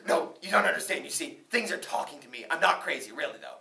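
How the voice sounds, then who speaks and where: A woman's voice: deep voice, expressive, fast, vocal fry, sharp tone, exaggerated voice. A woman doing a flustered, frantic farmer. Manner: frantic, rambling, insisting he is not crazy. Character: panicky, flustered male yam farmer. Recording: roomy room, distant mic.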